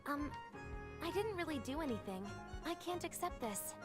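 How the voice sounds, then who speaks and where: A woman's voice: high voice, lively intonation, normal speed. A woman playing a modest, honest young friend in an anime game, courteous and earnest. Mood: hesitant, grateful. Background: game music.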